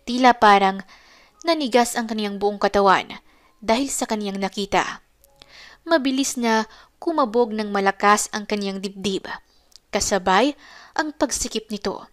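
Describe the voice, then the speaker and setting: A woman giving a dramatic scripted narration of a romance story. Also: mid-range voice.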